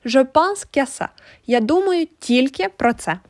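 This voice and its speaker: A woman narrates a language lesson. Her voice is on the high side, and she explains phrases as a teacher would. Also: animated, slow pace, a little mellow. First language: Ukrainian. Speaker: French teacher.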